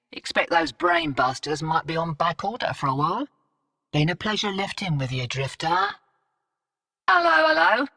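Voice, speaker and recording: A woman's voice: sits low, creaky, a bit bright, long reverb tail, distant mic, full character voice. An actress playing a brash, streetwise female smuggler and commander in a video game, with a cocky, blunt, slangy delivery. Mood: urgent, sly. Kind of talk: video game character dialogue.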